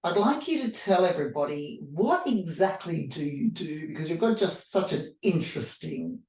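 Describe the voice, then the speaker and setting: A woman's voice: low voice, sing-song, normal speed, a little mellow. A woman hosting a conversational interview in an effusive, complimentary manner. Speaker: host of mental health chat show. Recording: roomy echo, distant from mic.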